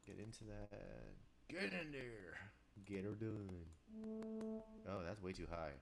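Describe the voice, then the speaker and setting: A young man with a very low voice, casually talking to himself while composing on a live stream, rambling with restarts and asides. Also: big pitch swings, takes it slowly, faint music behind. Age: young adult. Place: small room indoors. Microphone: desk microphone, wearing headphones.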